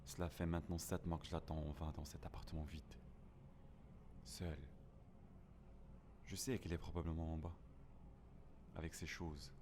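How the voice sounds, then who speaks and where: A man's voice: a bass voice, flat delivery, normal speed, long pauses, noisy background. A man playing a lonely, grieving survivor of a plant apocalypse, narrating a first-person audio drama in a somber, melancholic, dramatic way. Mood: mournful, wistful.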